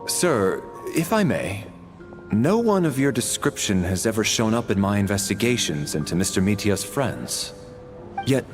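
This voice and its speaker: A deferential male investigator from a video game speaks in a low voice with very mobile intonation. He is formal and deliberate, questioning politely. Clear music sits behind him. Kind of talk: video game dialogue acting. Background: background music.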